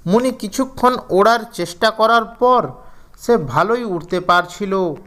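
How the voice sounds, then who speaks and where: A man's voice: high voice, a little slow, a bit bright. A man narrating a scripted children's fairy tale as storyteller.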